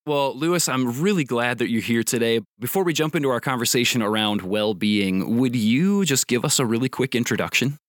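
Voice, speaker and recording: A man's voice: medium pitch, expressive, a little fast, hardly any pauses, no echo, clean studio sound. A man from Indiana hosting a podcast, asking friendly questions and affirming his guest, often laughing along. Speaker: podcast host. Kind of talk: interview questions.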